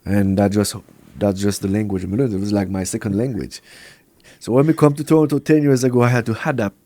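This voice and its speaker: A man from Montreal whose first language is Quebec French, speaking English with a strong Jamaican patois flavor in a relaxed podcast interview. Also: fairly low pitch, fairly quick. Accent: Jamaican patois over a Quebec French base. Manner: laid-back, slips into patois grammar. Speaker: reggae artist guest.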